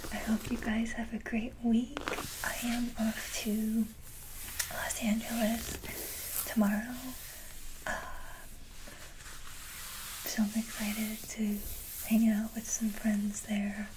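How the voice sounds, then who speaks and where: A woman whispering softly in a casual ASMR style, talking to viewers with frequent hesitant pauses mid-sentence. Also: on the high side, noisy background. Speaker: ASMR video creator.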